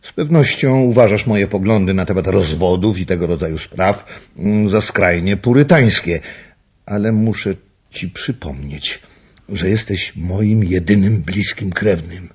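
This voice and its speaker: An actor playing an older upper-class doctor, a stern but courteous uncle with a husky voice and formal manner, in a radio drama. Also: male voice, sits low, measured pace, a little mellow. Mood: stern, guarded. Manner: formal, courteous, hesitant asides. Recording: dull, muffled recording.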